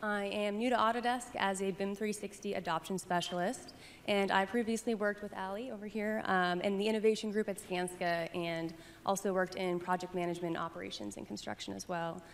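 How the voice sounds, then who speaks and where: A woman's voice: mid-range voice, fairly even intonation, a little fast, gravelly. Twenties woman on a panel, earnest and thoughtful, reverby hall, bit of hiss. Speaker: panelist, adoption specialist. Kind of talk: conference panel talk. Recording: long hall reverb through PA, faint noise.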